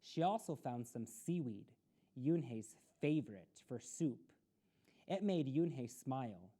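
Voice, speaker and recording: Slow, mellow, expressive woman storyteller. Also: very deep voice. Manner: deliberate, with explanatory asides to children. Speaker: storytime reader. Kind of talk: expressive children's story reading.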